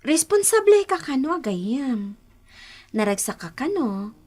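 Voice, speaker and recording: A woman's voice: high-pitched, very animated, unhurried, darkish tone, exaggerated voice. An actress playing a playful, prying young woman in a Filipino radio drama, with an Ilocano accent and a coaxing manner. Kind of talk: Philippine radio drama acting. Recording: noisy old radio drama recording.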